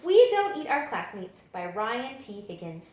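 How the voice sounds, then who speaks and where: A woman reading children's picture books aloud as a story-time librarian, with dramatic, expressive storytelling. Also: fairly high pitch, measured pace. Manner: dramatic, animated storytelling delivery. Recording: echoey room.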